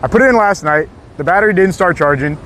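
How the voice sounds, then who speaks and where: Hoarse high-voiced man streaming outdoors. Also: expressive, fast. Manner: chatty, laid-back, talks straight to viewers. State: hoarse, voice worn out. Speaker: live streamer. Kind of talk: casual live-stream chat. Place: outdoors on a city street. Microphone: small clip-on mic. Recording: loud street noise outdoors.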